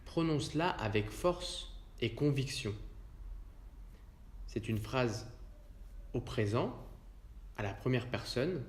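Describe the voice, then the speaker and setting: Man, yoga nidra instructor, mid-pitched voice, calm measured phrasing, guided relaxation, roomy echo. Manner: calm, measured delivery in short spaced phrases.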